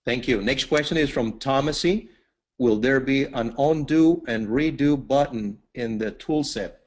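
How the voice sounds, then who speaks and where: A man's voice: medium pitch, unhurried, darkish tone. A man moderating a Q&A, reading out audience questions in a brief, businesslike way.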